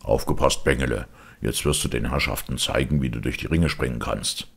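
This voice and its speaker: A man's voice: rumbling low, a little fast, creaky, husky, heavily acted. A man voicing a stern, heartless circus director with a curt, commanding manner.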